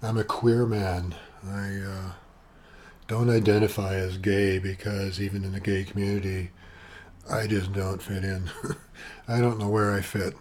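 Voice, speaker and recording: Soft-spoken old canadian guy, 70s, rambly and chatty, lowish flat voice, bit of hiss behind. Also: measured pace. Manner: chatty, eccentric, wandering with asides and fillers. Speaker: vlogger. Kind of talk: rambling personal monologue. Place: at home, sitting at his computer. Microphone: webcam with external sound gear.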